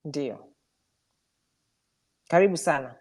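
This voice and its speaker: A woman hosting a live online discussion, summarizing points quickly with frequent fillers and inviting questions. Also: low-pitched, animated, darkish tone. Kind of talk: moderating a live audio discussion. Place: online audio room.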